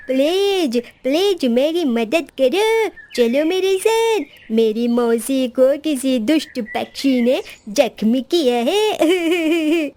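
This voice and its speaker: Woman as sly male crow, children's cartoon, slow drawn-out wheedling, swooping theatrical pitch. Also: a little mellow. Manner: pleading, exaggerated, theatrically drawn-out. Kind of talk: Hindi children's cartoon voice acting.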